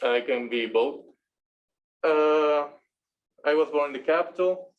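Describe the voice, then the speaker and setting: Man, halting class talk. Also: a little high, lo-fi sound. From Venezuela. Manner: halting, searching for words. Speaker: master's student presenter. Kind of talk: class presentation.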